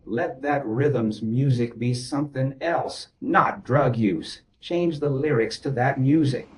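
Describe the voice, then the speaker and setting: A man's voice: medium pitch, slow pace, darkish tone. A man speaking as a therapist in a therapy session, insistent and repetitive, often checking whether his listeners understand. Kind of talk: group therapy session talk.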